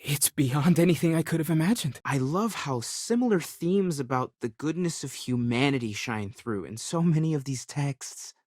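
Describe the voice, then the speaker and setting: An actor playing an earnest, thoughtful young explorer of faith in a video game, speaking sincerely and a little hesitantly. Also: male voice, on the high side, expressive, moderate pace, few pauses, darkish tone, no room sound, studio quality. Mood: earnest, awed. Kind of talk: scripted game dialogue.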